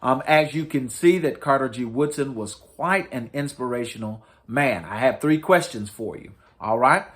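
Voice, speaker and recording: A man reading a storybook aloud to children as host, in an expressive, deliberate and emphatic storytelling manner. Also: medium pitch. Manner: deliberate, emphatic storytelling with dramatic rises and falls.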